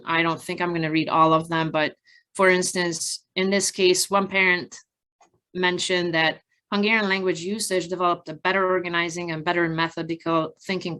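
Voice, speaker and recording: A woman researcher giving an academic presentation from slides in a measured, halting, explanatory way with frequent pauses. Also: fairly low pitch, fairly bright tone. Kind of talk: conference research presentation, partly improvised from slides.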